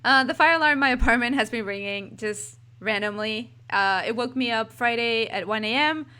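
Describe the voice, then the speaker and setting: A woman's voice: a little high, moderate pace, sharp tone, clean tone. A woman with a Texan accent co-hosting a podcast in casual chat, giggly and chatty, often trailing off mid-sentence. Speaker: podcast co-host. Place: home apartment.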